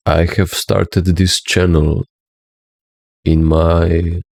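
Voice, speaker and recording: A man's voice: low voice, little pitch movement, drawn-out words, gravelly, a little mellow, dry recording, clean studio sound. A man from Slovenia with a Slovenian accent, speaking English as a second language in an earnest, preachy monologue as a spiritual teacher, often checking in with "right?".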